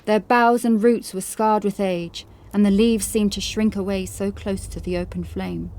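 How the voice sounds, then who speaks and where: A woman's voice: medium pitch, fairly even intonation, normal speed, a little mellow, a little hiss or hum. A woman reading scripted horror fiction as an audiobook narrator.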